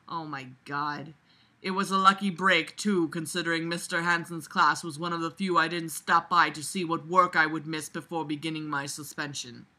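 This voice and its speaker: Casting: very bright, sharp voice for an exasperated read-along critic; casual, blunt, sarcastic, swings from muttering to emphatic outbursts. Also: mid-range voice, moderate pace. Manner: animated, emphatic, jumps from muttering to loud outbursts. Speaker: reader reviewing a book aloud. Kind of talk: casual running commentary while reading a novel aloud.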